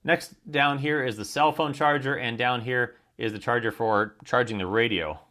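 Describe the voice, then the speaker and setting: An American man with a medium-pitched voice talks through a product as a reviewer. His delivery is informal and explanatory, with a plain, matter-of-fact manner. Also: moderate pace, bright, forceful tone.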